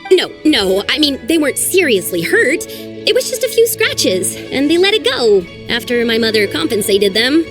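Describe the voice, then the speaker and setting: An earnest, anxious young archaeologist. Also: female voice, high-pitched, big pitch swings, normal speed, over music. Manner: earnest and sighing. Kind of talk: game voice acting.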